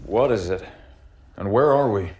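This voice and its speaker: A man playing a composed middle-aged starship captain in a video game, speaking in a measured, authoritative way with a gravelly voice. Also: fairly low pitch, animated, darkish tone. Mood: dry, questioning. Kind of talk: cinematic video game acting.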